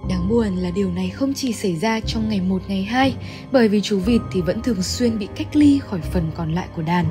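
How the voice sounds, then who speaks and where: Woman narrating over background music. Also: mid-range voice. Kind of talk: scripted story recap narration. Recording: music under the voice.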